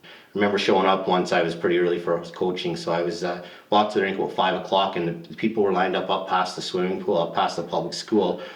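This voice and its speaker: A man's voice: low voice, level tone, quick pace. A man, a former hockey player and coach, recounting team memories in a matter-of-fact way. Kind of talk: documentary interview. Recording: roomy sound from a distant mic.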